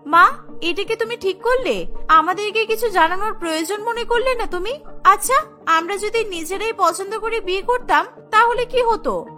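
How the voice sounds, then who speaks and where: A woman's voice: very high-pitched, moderate pace, sharp tone, faint music behind. A woman voicing an indignant young twin sister in a cartoon, questioning and reproachful. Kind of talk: Bengali cartoon character voice.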